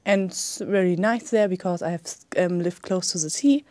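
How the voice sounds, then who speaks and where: A woman's voice: lowish voice, moderate pace, warm tone, dry recording. Hesitant young woman with German accent. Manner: hesitant, many ums. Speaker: exam candidate. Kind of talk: spoken exam answers.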